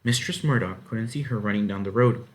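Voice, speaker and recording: A man reading a children's novel aloud as narrator, in an expressive, dramatic way. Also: lowish voice, a little fast. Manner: dramatic, animated. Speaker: story reader.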